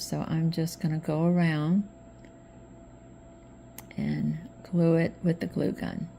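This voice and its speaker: A middle-aged woman with a slightly hoarse voice from allergies, chatting relaxed step by step through a craft tutorial as host. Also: low voice, slight background noise. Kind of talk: casual craft tutorial narration.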